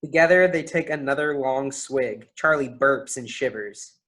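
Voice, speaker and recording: A man's voice: medium pitch, measured pace, fairly bright tone. A man reading stage directions as scripted narration at a play reading.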